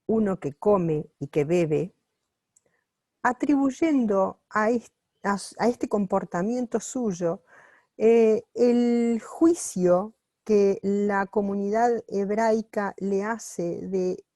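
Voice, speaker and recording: A woman reading a book aloud while translating it on the fly, pausing often with fillers and restarts. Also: medium pitch, warm tone, dry recording, studio quality. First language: not Italian. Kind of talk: unscripted sight-translation reading.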